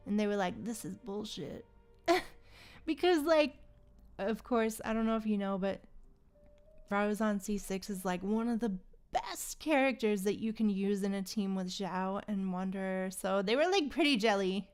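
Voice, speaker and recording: A woman livestreamer chatting casually in a slangy, animated way while gaming. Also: mid-range voice, moderate pace, smooth, faint music behind. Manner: chatty, animated retellings with frequent slangy exclamations.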